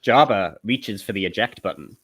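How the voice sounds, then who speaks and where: A man's voice: on the low side, lively intonation, moderate pace, a bit bright. A man narrating stage directions from a script at a live comedy table read.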